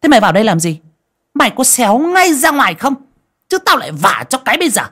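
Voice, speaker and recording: A man voicing a cruel, bullying stepmother who scolds loudly and shrilly. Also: very high-pitched, lively intonation, unhurried, voice pushed up, heavily acted. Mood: scolding. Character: cruel shrewish stepmother, sharp-tongued and bullying.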